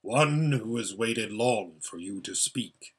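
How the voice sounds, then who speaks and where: A man voicing an unseen great lion in a storybook, solemn and measured, with a large deep voice. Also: expressive, husky, exaggerated voice. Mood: calm, solemn.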